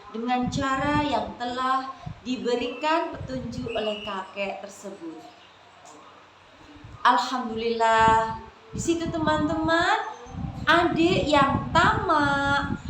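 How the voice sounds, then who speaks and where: Female children's storyteller. Expressive and slow-paced, speaking to little listeners in an echoey room. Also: sits high. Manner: expressive, addresses listeners often, dramatic pauses. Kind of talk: animated storytelling to young children. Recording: echoey room, clearly noisy background.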